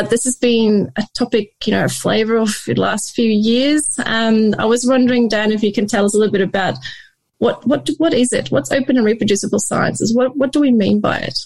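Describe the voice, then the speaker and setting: Woman host, mid-pitched, encouraging and upbeat. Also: creaky, dry recording. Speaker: host of a thesis support session. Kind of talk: online session hosting.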